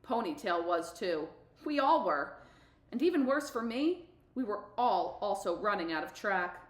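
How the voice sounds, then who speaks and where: A woman's voice: mid-range voice, big pitch swings, unhurried. A woman reading a novel aloud expressively for middle schoolers. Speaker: book reader for middle schoolers. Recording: echoey room.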